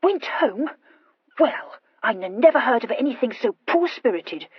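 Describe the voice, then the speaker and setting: A woman's voice: high voice, expressive, normal speed, husky, no room sound, dull audio, pitched above the performer's own voice. A woman voicing an impulsive, anxious young aristocratic wife who stammers and gushes earnestly in an audiobook story. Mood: puzzled, probing. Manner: hesitant, gushing confession with emphatic outbursts.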